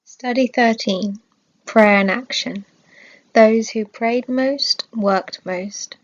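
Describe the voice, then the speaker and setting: A woman reading a scripted religious study in a measured, clearly phrased manner. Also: fairly high pitch, muffled recording. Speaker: reader of religious study text.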